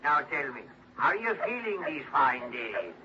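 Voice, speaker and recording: A coaxing dog trainer on old tape. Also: male voice, fairly high pitch, big pitch swings, moderate pace. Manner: coaxing, sing-song. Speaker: kennel owner heard on a tape. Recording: noisy lo-fi tape playback from afar.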